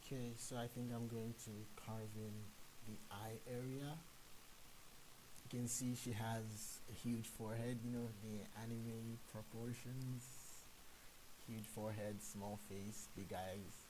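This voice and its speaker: A man's voice: medium pitch, level tone, unhurried. A man chatting casually and self-consciously on a live stream as he works, nervous and hesitant, over a hissy microphone. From Nigeria. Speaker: streamer and 3D sculpting artist. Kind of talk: casual live-stream chat while sculpting.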